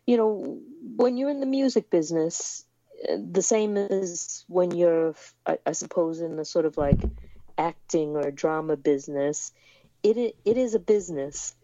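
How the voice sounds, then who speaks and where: A woman's voice: on the low side, moderate pace, dark tone, no echo, highs cut off. A woman raised in the United States, a musician guest in a remote interview, talking hesitantly with many fillers and restarts.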